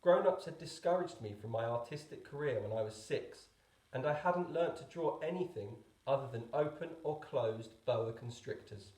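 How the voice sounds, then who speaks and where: A man's voice: mid-range voice, lively intonation, moderate pace, a little mellow. Echoey man reading a storybook. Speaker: teacher reading a book aloud. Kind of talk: storybook narration.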